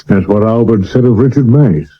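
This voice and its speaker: A man playing a brisk, weary Victorian police detective with a British accent and a dry, authoritative manner, in a 1970s radio drama with dull broadcast sound. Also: fairly low pitch, natural voice. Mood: businesslike.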